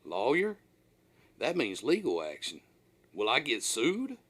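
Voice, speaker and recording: A man reading his own first-person story aloud as a scripted audiobook, in an animated, dramatic storyteller's manner. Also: medium pitch, slow pace, slightly rough.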